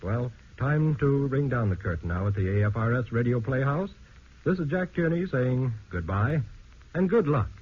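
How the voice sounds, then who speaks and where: A man's voice: mid-range voice, animated, moderate pace, slight background noise. A man hosting an old radio playhouse, announcing in formal 1940s broadcast style. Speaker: radio show host. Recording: tinny old radio recording with music. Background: music.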